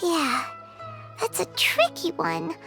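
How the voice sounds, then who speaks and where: A woman voicing a tiny, earnest, kind-hearted girl companion in a video game, squeaky and expressive. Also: takes it slowly, gravelly, over music, full character voice. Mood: reassuring. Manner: squeaky, plain-spoken, expressive.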